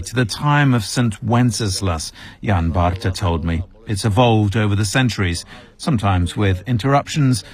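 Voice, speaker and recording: A man reporting as a news correspondent in a measured, scripted narration. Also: lowish voice.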